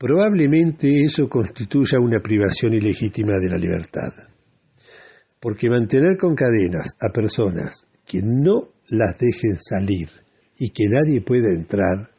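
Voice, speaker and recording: A man hosts a radio show in a Rioplatense Argentine accent. His voice is mellow and somewhat rough-edged, and he speaks slowly. He unfolds a reflective monologue in a halting, deliberate way, pausing as he thinks. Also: lowish voice, lively intonation.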